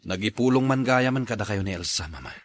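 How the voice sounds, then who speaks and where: A sad ilocano husband in a radio drama, low husky voice, close and dry, earnest. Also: male voice, expressive, quick pace, no echo. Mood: firm, weary. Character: unhappy, lovelorn young husband and father, quietly defiant.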